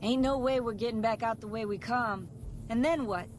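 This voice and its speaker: A woman's voice: fairly high pitch, moderate pace, natural voice. A woman with a voicing a blunt, skeptical caravan traveler in a video game. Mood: worried, sardonic. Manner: blunt, folksy, sarcastic. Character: skeptical, sardonic female caravan traveler on the trail. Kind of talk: video game character dialogue. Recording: noisy outdoor game ambience behind.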